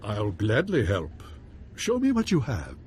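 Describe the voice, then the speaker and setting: Casting: lordly game baron, gracious and formal, very varied intonation, faint background noise. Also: male voice, fairly low pitch, wildly swooping pitch, measured pace, darkish tone. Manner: formal, courtly phrasing. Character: courtly male noble baron, gracious lord of the house. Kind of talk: video game voice acting.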